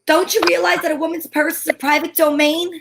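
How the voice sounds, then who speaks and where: A woman's voice: very high-pitched, little pitch movement, brisk, vocal fry, noisy background. A woman playing a plucky, wisecracking female private eye in an old-time radio drama, telling her story dramatically. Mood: coy, indignant. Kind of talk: old-time radio detective acting, first-person narration.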